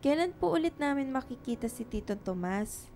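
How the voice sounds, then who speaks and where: A woman's voice: high-pitched, lively intonation, brisk, a little mellow, slight background noise. A Filipino woman playing a polite, curious young schoolgirl in a radio drama. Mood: eager. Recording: music behind the dialogue.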